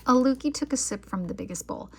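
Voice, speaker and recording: A woman reading a children's picture book aloud as an expressive storyteller. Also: high-pitched, fast, a little hiss or hum.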